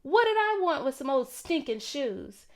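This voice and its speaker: A woman's voice: sits high, sing-song, measured pace, pitched above the performer's own voice, exaggerated voice. A woman voicing a sassy, boastful male talking dog from a children's storybook, indignant and wisecracking.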